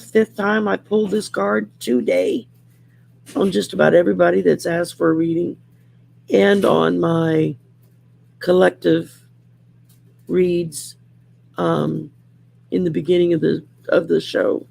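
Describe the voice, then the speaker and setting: A middle-aged woman with a growly, gravelly voice from throat surgery, chatting casually on a livestream while pulling tarot cards, rambling and restarting often. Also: fairly low pitch, fairly even intonation, slow pace, long pauses, a little mellow. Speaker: host and tarot card reader. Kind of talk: casual livestream chat with card reading.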